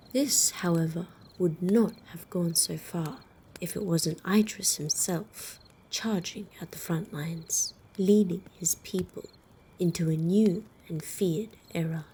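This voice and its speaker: A woman playing a wry female vampire, telling a tale in a soft, breathy half-whisper with measured, deliberate pauses, in ASMR roleplay style. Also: fairly low pitch, slight background noise. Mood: solemn, hushed. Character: female vampire storyteller, wry, protective, faintly condescending to humans. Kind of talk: ASMR roleplay, slow hushed campfire storytelling. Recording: faint outdoor night ambience with crickets. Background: crickets and night insect ambience.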